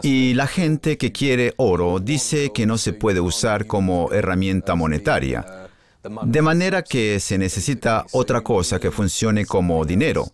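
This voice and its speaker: Lower-voiced guy guest on the show, explaining stuff calmly. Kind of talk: dubbed interview answers.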